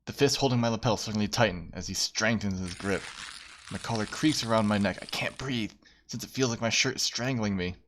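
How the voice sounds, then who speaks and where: A man's voice: lowish voice, fairly quick, fairly bright tone, slight background noise. A man streaming a game, reading aloud and giving casual commentary.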